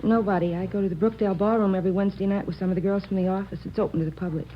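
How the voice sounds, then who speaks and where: A woman's voice: mid-range voice, steady tone, very fast, slight background noise, close to an everyday voice. An American woman playing a guarded, earnest young office worker under police questioning in a 1950s radio drama, polite and plain-spoken. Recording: dull, muffled old radio recording.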